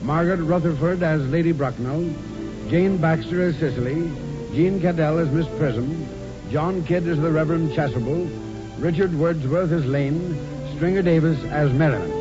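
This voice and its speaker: A man's voice: a little high, moderate pace. A man announcing formally in 1940s radio style. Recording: muffled 1940s radio broadcast. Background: music.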